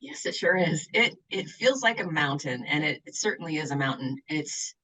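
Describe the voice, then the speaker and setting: A woman's voice: low voice, lively intonation, normal speed, bright, forceful tone, poor audio. A woman from the Seattle area who works as a university accessibility coordinator, answering interview questions in an earnest, reflective way with some fillers.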